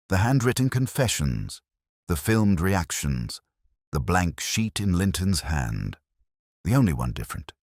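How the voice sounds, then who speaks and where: A man narrating a scripted detective mystery in a grave, deliberate, measured literary style that builds suspense. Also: extremely low pitch, darkish tone, husky, no room sound, clean studio sound.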